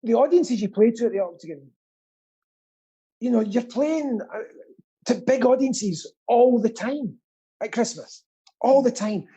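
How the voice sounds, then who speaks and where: Man, very dark timbre, husky, casual chat, earnest, self-deprecating, rambling. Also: extremely high pitch, expressive, a little slow, pauses often, lo-fi sound. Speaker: guest, pantomime actor and theatre stagehand.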